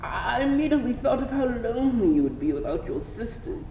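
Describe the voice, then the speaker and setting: A woman's voice: a little high, big pitch swings, a little fast. Lady doing a stuffy awkward suitor guy, old radio parody, muffled and far from the mic. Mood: pompous. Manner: pompous, wordy, awkward. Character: short, awkward, self-important male suitor. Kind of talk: 1920s radio drama parody. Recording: muffled, echoey, off-mic, faint hiss.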